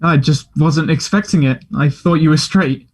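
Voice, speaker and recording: An actor reading the part of a gentle, patient and quietly direct young man in a play table read. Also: on the high side, normal speed, slightly rough. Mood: tender.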